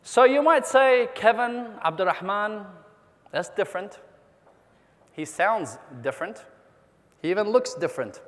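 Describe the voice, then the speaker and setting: High-voiced man speaking in a big hall. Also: a little slow, long pauses. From New Zealand. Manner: energetic, rallies the crowd, asks questions and calls for applause. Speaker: motivational speaker. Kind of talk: keynote speech to a convention audience. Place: large convention hall. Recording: long hall reverb.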